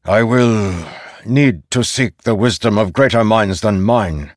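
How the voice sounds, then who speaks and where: A man playing a stern, reproachful general in a fantasy audiobook, with a forceful, authoritative manner. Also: lowish voice, unhurried, no echo, studio quality, pitched above the performer's own voice, lightly acted. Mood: grave. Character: stern, reproachful male general, commanding and grave.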